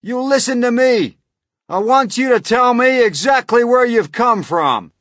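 A man voicing an excitable, alarmed police chief in a storybook, blustering and shouting. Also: high voice, slow, husky, no room sound, studio quality, voice pushed up, heavily acted.

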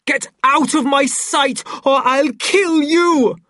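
A woman voicing a wild, agitated young man in a dramatised audiobook, with forceful, urgent delivery. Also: high-pitched, takes it slowly, dry recording, clean studio sound, voice pushed up. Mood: furious. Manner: shouted, forceful, urgent exclamations.

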